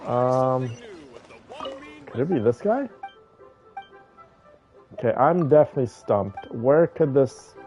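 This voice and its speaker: A man's voice: medium pitch, lively intonation, slow pace, long pauses, mellow, highs cut off, faint music behind. A man giving casual gameplay commentary, thinking aloud and talking to his viewers. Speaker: game streamer playing and commenting.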